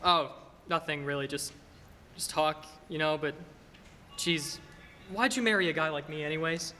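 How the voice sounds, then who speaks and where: A young man, a high school actor, playing an earnest, restless small-town family man who stammers in a 1940s-style radio play. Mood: downcast, self-doubting. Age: young adult. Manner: stammering, halting, excitable. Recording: hall reverb, noisy live stage recording.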